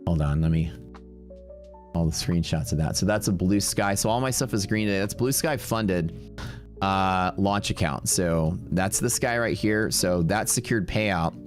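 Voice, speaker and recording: A man's voice: sits low, unhurried, faint music behind. A man with a Texas accent, streaming live day trading and chatting casually and brashly with his viewers. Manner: casual, brash, talks to chat.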